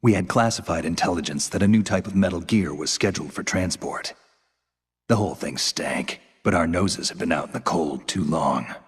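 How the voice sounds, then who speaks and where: A man playing a world-weary ex-military covert operative in a video game, gruff and gravelly, terse and deadpan, with an American accent. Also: low voice, normal speed, dry recording, studio quality. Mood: grim, reflective. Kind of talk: cinematic video game voice acting.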